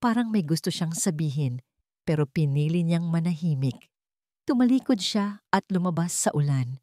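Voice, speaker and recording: A woman's voice: low voice, expressive, normal speed, a little mellow, no room sound, clean studio sound. A woman reading a dramatic first-person story as a scripted narrator.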